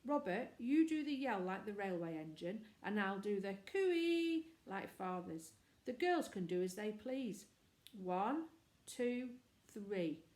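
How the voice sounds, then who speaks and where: A woman's voice: medium pitch, expressive, slow pace, a little mellow. A woman voicing an urgent, bossy and plucky schoolboy leader in a storybook. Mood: urgent, planning. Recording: echoey room.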